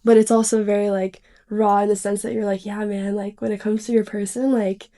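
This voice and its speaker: A woman hosting a podcast in a casual, expressive chat, with slangy asides. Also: mid-range voice, fairly quick. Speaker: podcast host.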